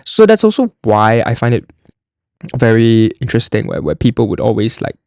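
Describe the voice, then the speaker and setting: A young man talks through an application form like a casual explainer. His voice sits at a middling pitch. He is chatty and loose, often restarting and leaning on "like", and the recording is dry and close. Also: very animated, normal speed, darkish tone, dry recording. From Singapore. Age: young adult. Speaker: college admissions podcast host.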